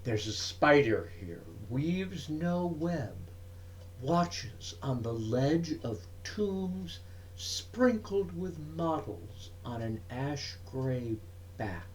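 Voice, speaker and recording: A man giving a dramatic reading of verse, in measured, deliberate phrases broken by pauses. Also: a little high, animated, a little hiss or hum, poor audio. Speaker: reader of poetry.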